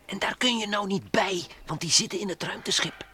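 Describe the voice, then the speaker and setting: An actor playing a bossy, admonishing space adventurer who lectures and grumbles in a children's radio play. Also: male voice, high-pitched, a little fast, pushed and bright, slight background noise, heavily acted. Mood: cautioning. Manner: didactic, admonishing.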